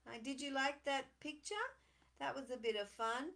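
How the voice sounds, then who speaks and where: A woman's voice: sits high, normal speed, vocal fry, a bit bright. A woman hosting a live children's craft session, chatty and encouraging as she talks straight to young kids. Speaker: children's storytime host. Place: at home on a live video stream.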